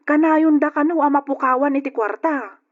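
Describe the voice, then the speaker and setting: A woman's voice: high-pitched, normal speed, narrow, tinny audio, close to an everyday voice. An actress playing a gossipy young woman who speaks in a teasing way in an old-style radio drama. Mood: gleeful gossip.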